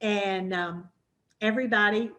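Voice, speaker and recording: A woman's voice: medium pitch, fairly even intonation, unhurried, a bit bright. Chatty Southern woman hosting a book talk. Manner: chatty, with many fillers. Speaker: moderator of a women's book program. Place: hybrid in-person and Zoom event.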